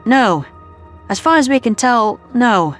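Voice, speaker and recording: A man's voice: very high voice, animated, takes it slowly, a bit bright, heavily acted. A man voicing a serious, emphatic woman scientist in an audio story. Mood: earnest. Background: faint music.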